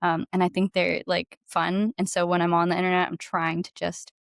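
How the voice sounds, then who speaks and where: A woman with a lowish voice talks as a guest in a casual interview. Her manner is enthusiastic and informal, peppered with "like". The sound is very dry, with no room echo. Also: flat delivery, normal speed, vocal fry. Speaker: podcast guest, singer-songwriter.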